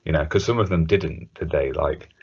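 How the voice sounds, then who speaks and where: Very low husky male, hesitant casual podcast co-host, muffled recording. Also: moderate pace. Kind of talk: casual chat about retro cartoon video games. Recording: dull, muffled lo-fi audio.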